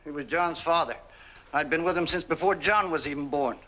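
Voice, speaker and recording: Old folksy servant man in a 40s movie, kinda high sharp voice, defensive country talk. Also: fairly quick. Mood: reflective. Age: older. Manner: folksy, ungrammatical rustic speech. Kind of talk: 1940s film acting. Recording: vintage 1940s film soundtrack.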